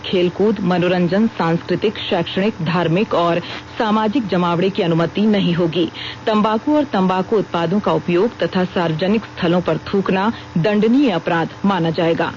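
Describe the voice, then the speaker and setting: A woman's voice: fairly low pitch, a little mellow. A woman with an Indian accent reading a formal scripted news bulletin as a radio news reader. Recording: dull lo-fi radio broadcast with noise.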